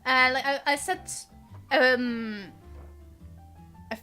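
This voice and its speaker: A woman's voice: high-pitched, drawn-out words, sharp tone. A woman live streamer giving casual, chatty, giggly game commentary that rambles along. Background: game music.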